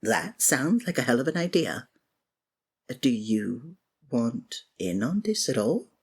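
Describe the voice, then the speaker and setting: A woman performing a scripted villain roleplay, breathy and theatrical, exasperated and swinging abruptly between registers. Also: a bass voice, sing-song, slow pace. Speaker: ASMR voice actor playing a villain.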